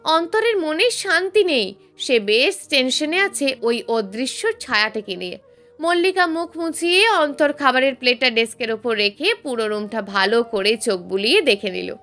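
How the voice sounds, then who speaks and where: High, lively woman narrating a story. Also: normal speed. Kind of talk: scripted dramatic story narration. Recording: music behind the voice. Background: background music.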